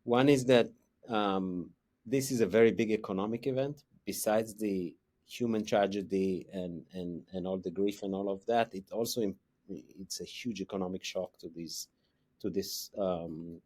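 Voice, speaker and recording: Low israeli guy, middle-aged prof, lots of ums and restarts. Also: darkish tone. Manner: halting, many fillers and restarts. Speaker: economics professor, guest. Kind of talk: interview answers.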